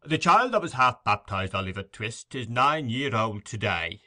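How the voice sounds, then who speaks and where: A man's voice: medium pitch, big pitch swings, moderate pace, sharp tone. A man voicing a pompous, self-important parish officer who blusters and speechifies. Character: pompous fat choleric male parish beadle.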